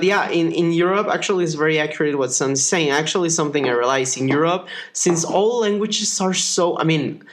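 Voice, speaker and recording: Chatty young Mexican-born man, higher voice. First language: Spanish. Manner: rambling, filler-heavy, playful. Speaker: podcast co-host. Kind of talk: casual chat.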